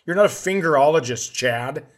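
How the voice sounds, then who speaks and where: A middle-aged man hosting a comedy podcast, telling stories casually with swearing and animated outbursts. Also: fairly high pitch, normal speed. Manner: profane, animated when acting out his outbursts.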